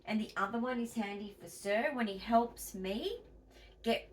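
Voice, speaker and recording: A woman's voice: a little high, a little slow, recorded from a distance, slight background noise. An Australian woman chatting casually to her viewers in a home vlog, emphatic and chatty, and a bit wheezy. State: wheezy, tight-chested. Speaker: vlogger.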